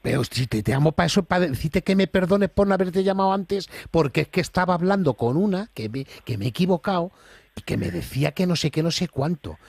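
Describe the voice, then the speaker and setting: A man's voice: high-pitched, lively intonation, brisk, vocal fry, darkish tone. A man with an accent from Spain making an improvised prank phone call, talking in an insistent, rambling, colloquial way. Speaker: prank caller.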